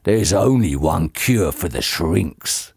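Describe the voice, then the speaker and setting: A reader voicing a cruel, gloating old man in a storybook, drawing out his taunts slowly. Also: low voice, lively intonation, gravelly, darkish tone, breathy edge, dry recording, clean studio sound, voice pushed down, heavily acted. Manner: slow, taunting, relishing each word. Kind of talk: storybook character voice.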